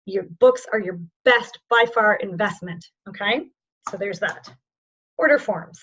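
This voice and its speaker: Hoarse woman coaching sales reps online. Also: a little high, fairly bright tone, lo-fi sound. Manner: informal and encouraging, checks in with "okay?". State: losing her voice, hoarse. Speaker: sales team trainer. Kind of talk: online training session with screen sharing.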